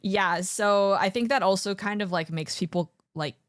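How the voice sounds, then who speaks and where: A woman's voice: mid-range voice, moderate pace, dry recording. A woman streamer hosting a casual, conversational interview chat.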